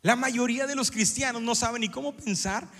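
A middle-aged pastor preaches with a high, bright, forceful voice, joking and acting out little scenes for his congregation. His words ring with a long reverb through a church sanctuary. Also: a little fast. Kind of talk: sermon.

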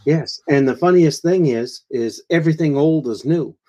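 A man's voice: fairly high pitch, normal speed, mellow. A man talking as a guest in a casual chat, rambling with lots of fillers and eager agreement.

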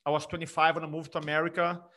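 A middle-aged man from Brazil with a Brazilian Portuguese accent, an energetic speaking coach talking emphatically in an interview. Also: fairly high pitch, racing. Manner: energetic, emphatic, frequent restarts. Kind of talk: conversational interview.

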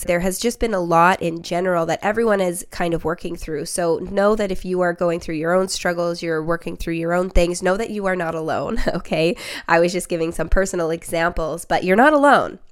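Young woman podcaster, a bit nasal from being sick, smooth lower voice, earnest and encouraging toward the listener. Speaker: podcast host and spiritual reader. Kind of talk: solo reflective podcast monologue.

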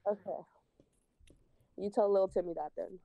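A woman's voice: medium pitch, fairly even intonation, moderate pace, vocal fry, mellow, dry recording. A young woman with a Toronto accent on a video call, sassy and swearing freely as she fires back in casual banter. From Canada. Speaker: guest on a video chat.

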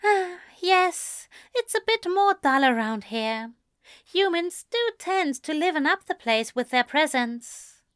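Lady doing a fussy male angel from a story, high n slow, prissy, snippy, gets flustered easy. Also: animated, a bit bright, no room sound, studio quality, full character voice. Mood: prim, evasive. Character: prim, flustered, easily scandalised male angel.

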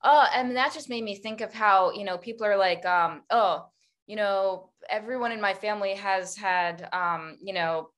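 A woman with a mid-range voice hosts an interview. She talks in a relaxed, reflective way with plenty of fillers. She encourages her guest as they talk. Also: medium pitch, normal speed. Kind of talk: casual conversation.

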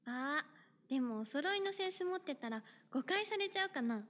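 A woman's voice: sits high, expressive, moderate pace, a bit bright. A woman voicing a cheerful, friendly teenage schoolgirl who talks in a breezy, teasing way, in an audio drama. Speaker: voice actor.

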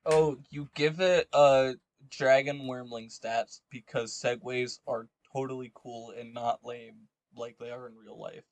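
A man's voice: medium pitch, fairly even intonation, unhurried, hardly any pauses, no echo. A man hosting a casual podcast, talking in a joking, irreverent, slangy way. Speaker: podcast host. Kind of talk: casual podcast chat and commentary.

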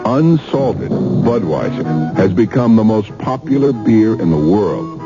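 A man's voice: lowish voice, big pitch swings, slow pace, loud music behind the voice. A man reading a scripted 1970s radio commercial in a conversational, folksy style. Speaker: commercial announcer. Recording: dull 1970s radio broadcast.

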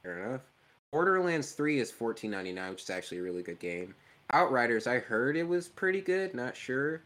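A man's voice: medium pitch, big pitch swings, brisk, fairly bright tone. A young man co-hosting a casual podcast, joking and laughing easily as he chats. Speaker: podcast co-host. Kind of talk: casual chat.

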